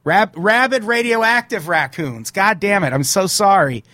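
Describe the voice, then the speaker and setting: Slightly hoarse podcast host, dry mic. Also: male voice, high voice, expressive, bright, forceful tone, no echo. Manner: swears often, explains rules quickly. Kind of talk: casual chat, live-streamed podcast.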